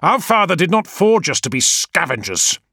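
A man voicing a proud, fiery male war leader who speaks in a raised, forceful way. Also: on the high side, very animated, normal speed, gravelly, no room sound, studio quality, pitched above the performer's own voice, heavily acted. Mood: weary. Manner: forceful, raised, impassioned delivery.

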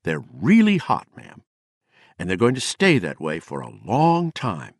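Guy doing a drunk bitter poet, sneering and loud, deep-ish voice that swings around a lot. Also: wildly swooping pitch, measured pace, dry recording, studio quality, heavily acted. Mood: mocking. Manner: mocking, sardonic, raising his voice. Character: drunk, bitter, eloquent male poet ranting.

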